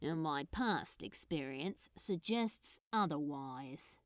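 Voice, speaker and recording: A woman voicing a tired, hesitant, dryly grumpy but soft-hearted man in a storybook reading. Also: low-pitched, lively intonation, slow pace, dry recording, voice pushed down. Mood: wry. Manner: hesitant, mumbling, dry.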